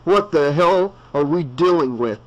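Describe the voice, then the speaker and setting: A man's voice: a little high, lively intonation, unhurried, dull audio. A man voicing a weary small-town sheriff who speaks firmly. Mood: stern. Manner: firm, commanding.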